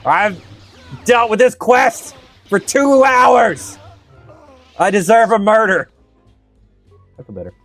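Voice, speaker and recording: A man's voice: high voice, very animated, slow, long pauses, fairly bright tone. Streamer, male, Southern-raised but neutral-sounding, rambling and casual. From Southern United States. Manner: rambling and chatty, with many fillers. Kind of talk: casual gameplay commentary. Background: faint game music.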